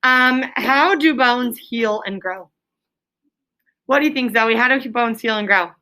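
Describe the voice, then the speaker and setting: A woman with a fairly high, very bright and forceful voice leads a classroom lesson as a schoolteacher. She prompts with questions, repeats them for emphasis and checks in with short tags. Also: unhurried. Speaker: elementary school teacher. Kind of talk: classroom question-and-answer teaching. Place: classroom with pupils.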